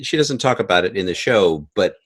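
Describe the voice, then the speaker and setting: A man speaking as a guest in a conversational interview, halting as he repeats and rephrases. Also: sits low, lively intonation. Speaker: podcast guest, sound engineer.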